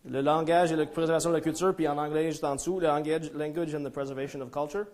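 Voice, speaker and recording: A man's voice: fairly high pitch, fairly even intonation, fast talker, a little mellow. Anglophone Ontarian man lecturing in French. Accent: English-speaking Ontarian speaking French. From Ontario, Canada. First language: English. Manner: hesitant, many fillers and restarts, self-deprecating humor. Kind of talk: live conference talk. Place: lecture room before a small audience.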